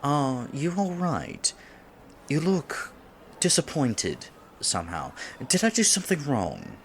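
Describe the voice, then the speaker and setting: A man's voice: mid-range voice, wildly swooping pitch, takes it slowly, gravelly, a little hiss or hum, exaggerated voice. A man in his twenties playing a nervous, polite young silver fox kitsune who wants to seem mature, in an ASMR date roleplay, speaking formally with stammers. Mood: puzzled, amused. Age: 20s. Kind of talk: ASMR roleplay, scripted one-sided date conversation.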